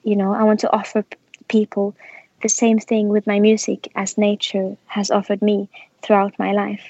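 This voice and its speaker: A woman's voice: medium pitch, monotone, moderate pace, dark tone, no room sound. A young woman, a musician speaking reflectively in a casual interview, with many small restarts. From Norway. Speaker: musician guest. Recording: muffled, slightly lo-fi sound.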